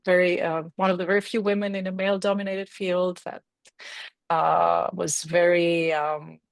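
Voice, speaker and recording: Low-voiced woman guest, dry close sound. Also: little pitch movement, moderate pace, gravelly, no room sound. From Greece. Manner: reflective, with frequent fillers and soft laughs. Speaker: guest, reinvention coach. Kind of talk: podcast interview.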